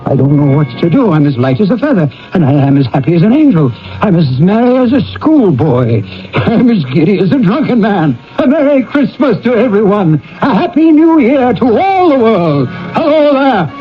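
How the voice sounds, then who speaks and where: An actor playing a reformed old miser in a theatrical radio drama, with an emotional, exclamatory delivery. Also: male voice, high voice, very animated, moderate pace. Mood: giddy joy. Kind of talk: theatrical radio drama acting with first-person narration. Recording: muffled old radio broadcast with music. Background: music.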